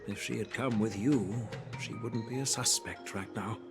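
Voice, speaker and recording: A man's voice: lowish voice, close to an everyday voice. Composed, formal male authority played by an actor. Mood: grave, fair. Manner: measured, formal. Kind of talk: video game voice acting. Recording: clear music behind.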